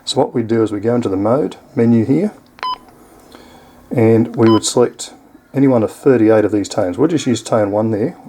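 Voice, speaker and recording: A man's voice: fairly low pitch, moderate pace, dark tone, a little hiss or hum, rough recording. A man with an Australian accent giving a casual, unscripted product demonstration in an easygoing, explanatory way. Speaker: product reviewer.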